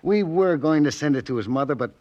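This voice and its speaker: An actor playing a plain-spoken newspaper editor in a 1940s radio drama, colloquial and clipped, obliging but a little reluctant. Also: male voice, on the high side, lively intonation, a little fast, a little mellow, close to an everyday voice. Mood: hesitant, obliging. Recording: muffled vintage radio recording.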